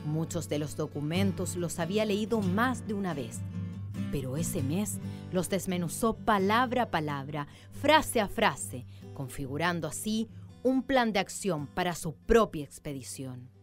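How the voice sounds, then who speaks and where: A woman narrating a scripted radio drama in a measured, literary storytelling manner. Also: lowish voice, lively intonation, soft background music.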